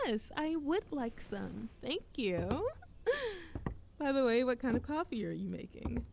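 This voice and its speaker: Slow-talking, teasing young woman played by an actress. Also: medium pitch. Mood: playful, teasing. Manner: playful, teasing, breathy laughs and drawn-out phrases. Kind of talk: intimate roleplay audio acting, one-sided dialogue.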